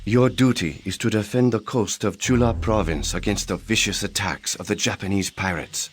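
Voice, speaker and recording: An actor playing a loyal senior minister of an old Korean court in a game cutscene, speaking formally and with measured delivery. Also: male voice, lowish voice, a little hiss or hum, natural voice. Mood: solemn, respectful. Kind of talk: scripted historical game cutscene acting. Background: orchestral game music.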